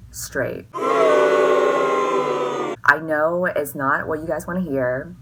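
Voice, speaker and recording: A woman in her twenties talks in a very low, bright-edged voice, a bit tipsy and full of sarcasm. She swears freely and plays things up with mock drama. A faint background hum sits under the recording. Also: low-quality recording. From US West Coast. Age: 20s. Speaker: vlogger. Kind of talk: casual tipsy Q&A chat.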